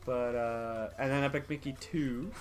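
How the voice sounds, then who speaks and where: A man co-hosting a podcast, chatting casually and chiming in agreeably, with other voices chattering around him. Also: medium pitch. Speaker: podcast co-host. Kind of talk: casual group chat.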